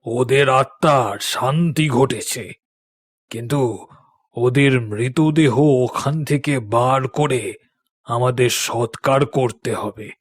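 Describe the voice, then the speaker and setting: Slow, solemn village priest played by a man. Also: mid-range voice. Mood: solemn, commanding. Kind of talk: dramatic cartoon voice acting. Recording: faint music behind the voice.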